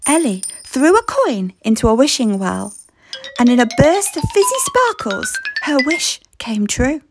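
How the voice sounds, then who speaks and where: A woman reading a children's story aloud in expressive scripted narration. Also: high voice, unhurried, music in the background.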